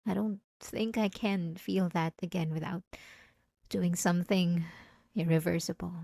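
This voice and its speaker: A woman's voice: lowish voice, steady tone, a little slow, vocal fry, warm tone, no room sound, clean studio sound. A woman playing a possessive, obsessive wife in an intimate, emotional ASMR roleplay, speaking straight to the listener. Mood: pleading, wounded. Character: possessive, obsessive yandere wife, clingy and menacing.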